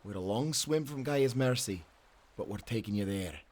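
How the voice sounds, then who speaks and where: An actor playing a stern but merciful sailor in an audio drama, with firm, old-fashioned seafaring speech. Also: male voice, medium pitch, lively intonation, moderate pace, a little mellow, natural voice. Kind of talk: dramatic audio roleplay acting.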